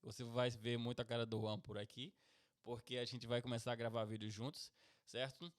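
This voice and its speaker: A man's voice: mid-range voice, flat delivery, brisk. Middle-aged Pernambucan man, casual podcast host, mid-range voice, talkative. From Brazil, Pernambuco. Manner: chatty, keeps tagging "certo?" and "beleza?".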